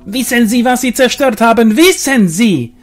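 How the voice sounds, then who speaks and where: A man voicing a fat, sweaty, irascible male magister who shouts in shrill, furious outbursts. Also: expressive, normal speed, soft background music. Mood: furious ranting.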